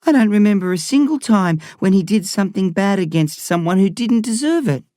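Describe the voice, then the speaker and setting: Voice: mid-range voice, expressive, brisk, warm tone, no room sound, clean studio sound, voice pushed up, natural voice. A man voicing an earnest, loyal thirteen-year-old boy in an audiobook, eager and questioning. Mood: earnest, insistent. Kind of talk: audiobook character voice.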